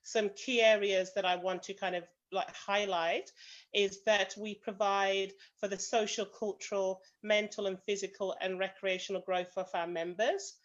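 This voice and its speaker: A woman's voice: mid-range voice, flat delivery, normal speed. A woman with a British accent introducing her organisation as its president in a semi-formal online meeting. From British of Jamaican family. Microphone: video call. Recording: dull lo-fi video call audio.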